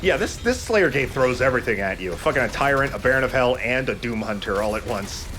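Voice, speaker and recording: A man's voice: medium pitch, lively intonation, brisk, fairly bright tone, a little hiss or hum. A man commentating a video game casually, explaining things with mock-announcer flourishes. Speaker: let's play host and gamer.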